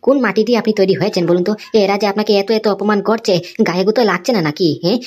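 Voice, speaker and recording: A woman's voice: mid-range voice, steady tone, very fast, darkish tone, close to an everyday voice. A woman voicing an indignant, fiercely loyal cartoon employee, insistent and confrontational, full of tag questions. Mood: exasperated. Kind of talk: amateur cartoon dialogue.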